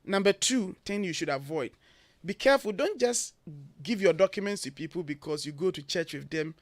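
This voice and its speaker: A man with a Ghanaian accent speaks in a fairly high, bright voice, earnest and emphatic as he lays out advice point by point. The sound is close and dry, with no room echo, as in a radio studio. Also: lively intonation, normal speed. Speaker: guest, education agency head.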